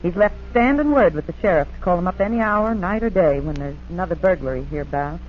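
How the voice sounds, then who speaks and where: A woman's voice: lowish voice, fast, lightly acted. Homespun farm wife played by a woman, gossipy and trusting, rural phrasing, dull hissy 1940s radio drama. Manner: folksy rural idiom.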